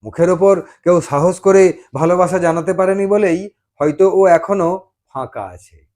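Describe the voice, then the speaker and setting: A man's voice: high voice, measured pace, a little mellow. A man narrating a love story as a dramatised audiobook reading, telling it expressively.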